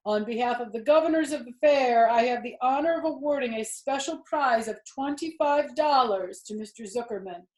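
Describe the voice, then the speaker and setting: A woman voicing a grand male fair announcer who speaks loudly, slowly and formally over the loudspeaker. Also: a little high, pitched above the performer's own voice, lightly acted. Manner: loud, slow, formal public address delivery. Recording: roomy echo.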